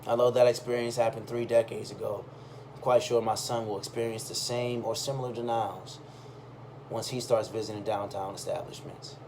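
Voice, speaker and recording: A man reading a first-person story aloud in a conversational, expressive way. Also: fairly low pitch, normal speed. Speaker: reader of a personal chronicle. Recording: clearly audible background noise.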